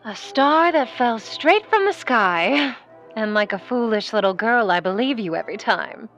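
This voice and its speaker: A driven, sassy young starlet played by a woman in a vintage radio play. Her voice is high and swoops dramatically, with theatrical flair on every line. Faint music sits behind it. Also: lively intonation, normal speed, a bit bright. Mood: anxious, dramatic. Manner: theatrical, sassy, darling-dropping.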